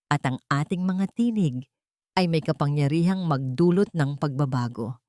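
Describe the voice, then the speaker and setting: Low-pitched Filipino woman narrating, dry sound. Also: a little mellow, dry recording. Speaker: storyteller and narrator. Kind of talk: scripted dramatic story narration.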